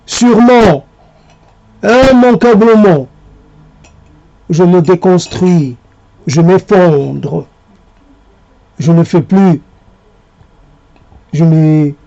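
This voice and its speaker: A man with a high-pitched voice recites poetry at an extremely slow pace. He breaks the text into short, separated phrases, so the delivery is halting and spoken piece by piece. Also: vocal fry, dark tone, dull audio.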